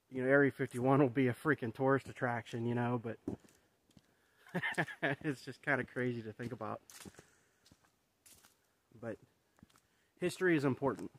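A man in his thirties talks into a handheld camera as he walks along an overgrown forest road. His talk rambles and turns reflective, full of "you know" and restarts. The sound is open and outdoors. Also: medium pitch. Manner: rambling, reflective, many fillers. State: walking while talking. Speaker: vlogger. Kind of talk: casual walking vlog. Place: outdoors on a wooded trail. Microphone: phone or camera held at arm's length.

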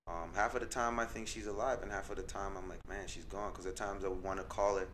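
A young man from Tanzania with a very deep, low voice talks things over in a podcast studio, close on a boom mic. He speaks softly and reflectively, circling back with fillers and restarts. A faint hiss sits under the recording. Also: steady tone, fast talker. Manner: reflective, soft-spoken, with many fillers and restarts. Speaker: guest artist. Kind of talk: casual interview conversation. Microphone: desk mic on a boom arm.

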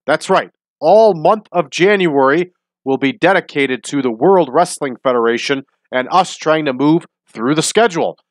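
A man's voice: on the high side, expressive, moderate pace, no room sound. A man with a stuffy, nasal voice from a sinus infection, hosting a wrestling simulation series in upbeat casual commentary. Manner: upbeat, addresses viewers as everybody. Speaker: host of a wrestling sim series.

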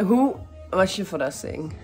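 A woman's voice: medium pitch, expressive, measured pace, darkish tone, soft background music. A woman, chatting casually to her phone camera, laughing often and dropping in English phrases. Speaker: vlogger. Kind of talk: casual vlog chat. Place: indoors, then in a parked and driving car. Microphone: phone at arm's length.

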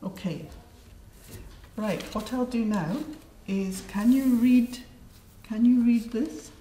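A middle-aged woman asks simple questions slowly and patiently, repeating and reassuring as she goes. Her voice is mellow and warm. The small studio adds a roomy echo and a faint hiss. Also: a little high. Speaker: adult education assessor. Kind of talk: slow, simple questions to a language learner. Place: small studio set, indoors.

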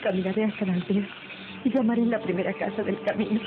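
A woman's voice: mid-range voice, steady tone, fast, over music, natural voice. An actress playing a humble, earnest woman in an old radio drama, with a plaintive manner. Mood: forlorn, pleading. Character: humble, pleading, deferential young woman. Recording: thin, phone-like, noisy old radio recording.

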